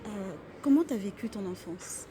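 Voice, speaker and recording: A woman's voice: fairly high pitch, animated, moderate pace, noisy background. A woman hosting an interview asks her guest friendly, conversational questions. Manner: encouraging, conversational. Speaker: interviewer, host.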